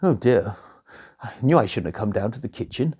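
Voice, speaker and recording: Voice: mid-range voice, sing-song, a little fast, mellow, breathy edge. A storyteller voicing an earnest, flustered young prince who hesitates and stammers. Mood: earnest, worried.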